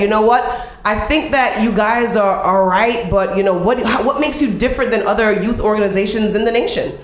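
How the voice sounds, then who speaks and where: A woman's voice: a little high, reverberant, low-quality recording. A woman hosting a radio morning show, chatting casually with guests and using lots of fillers. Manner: chatty, encouraging, many fillers. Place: radio studio.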